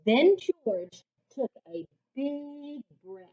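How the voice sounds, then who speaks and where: Slow, very animated woman reading a storybook. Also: sits high, lo-fi sound. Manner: expressive, deliberate storytelling for young children. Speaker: reading coach reading aloud. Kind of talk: children's storybook read-aloud.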